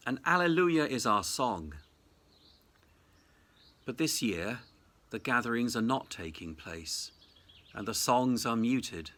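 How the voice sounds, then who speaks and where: A clergyman delivers a short Easter reflection. His voice is bright and forward, of middling pitch for a man. He phrases deliberately and thoughtfully, as a preacher does. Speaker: Anglican cathedral dean.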